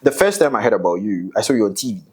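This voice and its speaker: A woman's voice: a bass voice, lively intonation, very fast, a little mellow, breathy edge. A woman hosting a casual podcast, asking short questions in a playful, conversational way. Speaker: podcast host.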